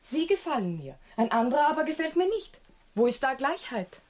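A woman playing a naive, frank young country gentlewoman in a German radio drama, artless and sure of her own opinions. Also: high-pitched, sing-song, brisk. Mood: frankly admiring. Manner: artless, self-assured, contrary.

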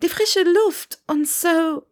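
A woman's voice: very high voice, slow pace, a little mellow, voice pushed up. A woman voicing a polite, awkward young witch in a storybook reading, hesitant and evasive. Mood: polite, weary. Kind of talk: audiobook character dialogue.

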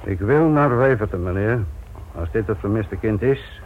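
Casting: male actor as bitter, stubborn police inspector, lowish voice, curt and defiant, muffled old radio drama sound. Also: lively intonation, moderate pace, lightly acted. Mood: insistent. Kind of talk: Dutch radio play acting. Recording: muffled lo-fi radio drama recording, faint noise.

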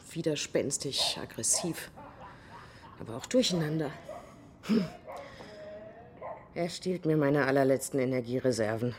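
An actress playing a drained, bitter mother of a troubled teenager, giving an aggrieved confession in short phrases in a naturalistic radio drama, with a husky voice. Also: low-pitched, darkish tone, slight background noise. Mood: weary, bitter.

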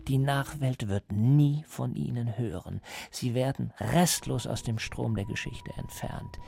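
Menacing, gravelly, animated interrogator. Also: male voice, medium pitch, noisy background, exaggerated voice. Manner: didactic, deliberate, insistent. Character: menacing male party interrogator, coldly patient, lecturing. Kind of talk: German radio drama acting.

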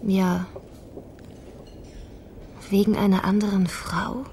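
A woman's voice: mid-range voice, little pitch movement, slow, dark tone, slight background noise. Female role: guarded politician's daughter, controlled and terse, mid register, crime radio drama, noticeable background ambience. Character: guarded, resolute daughter of a politician, seeking family truth.

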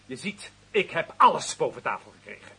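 An actor in a radio drama playing a vindictive, power-drunk official, theatrical and menacing, moving between hissed threats and bellowing. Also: male voice, mid-range voice, very animated, brisk, gravelly, bright, forceful tone, breathy edge, exaggerated voice. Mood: gloating, accusing.

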